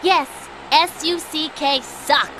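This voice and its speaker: A woman's voice: sits high, slow, sharp tone, slight background noise, full character voice, poor audio. A woman voicing a smug, mocking young female swallow mechanic for a video game cutscene, with game music behind. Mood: scornful. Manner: mocking, haughty.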